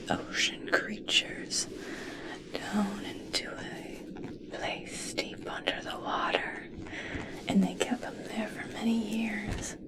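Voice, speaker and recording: A woman playing an obsessed, eccentric scientist in an ASMR roleplay, speaking intimately in a breathy whisper. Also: mid-range voice, natural voice, poor audio. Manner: slow, intimate, wistful storytelling. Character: obsessed, eccentric female human scientist fascinated by merpeople.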